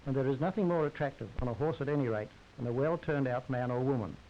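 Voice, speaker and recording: A man's voice: mid-range voice, fast talker. A man narrating a 1930s society newsreel in a formal, wry and droll scripted manner. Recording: hissy, muffled 1930s film soundtrack.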